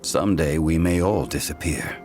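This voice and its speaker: An actor playing a calm, wise ancient god in mortal guise, speaking formally and solemnly in a video game. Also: male voice, a bass voice, normal speed, music in the background. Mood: reflective. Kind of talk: video game voice acting, formal archaic speech.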